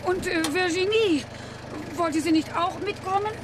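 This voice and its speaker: Flustered fussy lady, super high slow voice, radio play, loud car noise outside. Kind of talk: German radio drama acting.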